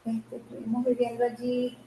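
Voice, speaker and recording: A Venezuelan woman of about fifty tells her story softly, with many small fillers. Her voice is a little higher than most women's and mellow. The room echoes, with faint noise behind her. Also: monotone, moderate pace. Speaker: interview guest. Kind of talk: storytelling in an interview.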